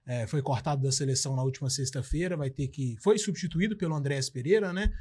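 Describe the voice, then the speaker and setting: A man's voice: mid-range voice, quick pace, warm tone, breathy edge. A Brazilian man co-hosting a sports talk show, musing along with fillers and trailing sentences. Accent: Brazilian Portuguese.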